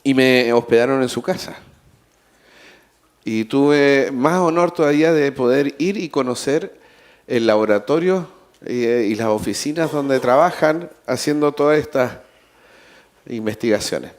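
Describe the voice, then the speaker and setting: Slow-talking conference host. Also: male voice, medium pitch. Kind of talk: live conference emcee.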